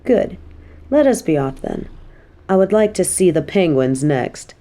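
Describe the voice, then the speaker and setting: A woman's voice: fairly low pitch, very animated, measured pace, warm tone, heavy reverb. A woman voicing a haughty fallen angel in an audio drama, imperious and pompous with archaic formal diction, yet fond of her demon companion. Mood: brisk, imperious.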